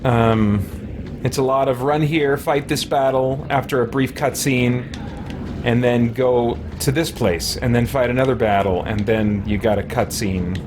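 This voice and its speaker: Male gaming streamer, mid-pitched, casual and rambling commentary, clear game noise behind him. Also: steady tone, a little slow. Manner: rambling, musing aloud. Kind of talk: casual let's play commentary. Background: video game sound.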